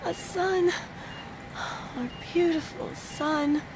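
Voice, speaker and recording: A woman's voice: very high voice, steady tone, extremely slow, full character voice. An actress playing a loving, exhausted new mother in a video game, speaking tenderly with sighs. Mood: overjoyed, drained. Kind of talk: emotional video game acting. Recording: clear background ambient noise.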